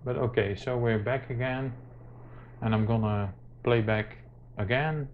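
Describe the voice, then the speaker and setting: A man gives an unscripted how-to demonstration, hesitating often with many filler sounds. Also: mid-range voice. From Netherlands. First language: Dutch. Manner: hesitant, halting delivery with very frequent filler sounds. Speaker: tech tutorial presenter. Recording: dull lo-fi camera audio, clear noise.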